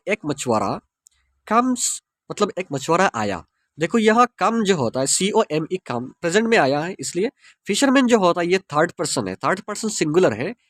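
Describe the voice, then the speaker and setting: A man with an Indian accent, a Hindi speaker, teaching English by explaining a story line by line and spelling words out letter by letter. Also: high-pitched.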